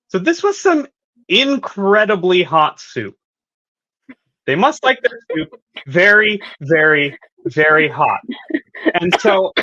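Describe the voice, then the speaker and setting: A woman chatting as a panel guest about fan theories in a playful, theatrical storytelling way with dramatic pauses. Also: deep voice, fairly bright tone, dull audio. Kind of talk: casual fan theory discussion.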